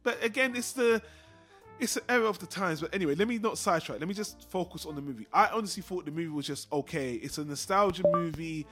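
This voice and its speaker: A man's voice: on the high side, fast, a bit bright, soft background music. A man co-hosting a casual film discussion, rambling and repeating himself for emphasis. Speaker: podcast co-host.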